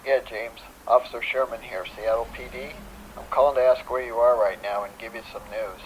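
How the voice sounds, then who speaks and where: Measured police officer on speakerphone. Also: male voice, mid-range voice, steady tone, a little hiss or hum, rough recording. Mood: official, regretful. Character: matter-of-fact male police officer phoning with bad news. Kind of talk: audio drama acting.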